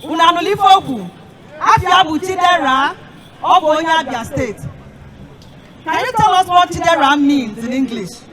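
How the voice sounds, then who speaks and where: A woman's voice: very high voice, moderate pace, distant mic. A woman emcees a festival, emphatically repeating the answers and explaining them to the audience. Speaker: emcee quizzing children. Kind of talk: public address at an outdoor festival. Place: outdoor festival. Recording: echoey amplified sound from afar over crowd. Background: crowd chatter.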